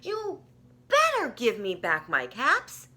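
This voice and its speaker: Woman putting on an emphatic, high-pitched storybook cap peddler. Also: huge pitch swings, bright, forceful tone, full character voice. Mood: furious. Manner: emphatic, drawn-out, stammering demands. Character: exasperated male cap peddler, scolding and indignant.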